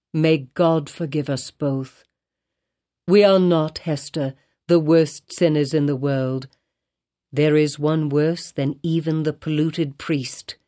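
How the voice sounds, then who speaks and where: A guilt-stricken male minister speaks with solemn grief in old-fashioned formal speech, read by a woman narrator. The tone is mellow and fairly low, like a storybook reading. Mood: sorrowful.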